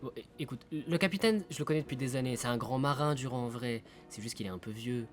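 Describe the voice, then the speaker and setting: Un acteur joue un marin qui raconte des légendes de la mer dans une fiction sonore, d'un ton posé et un peu ironique. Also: male voice, mid-range voice, fast, close to an everyday voice. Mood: confiding. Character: weary seasoned sailor recounting sea legends in verse. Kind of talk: audio fiction storytelling. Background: music.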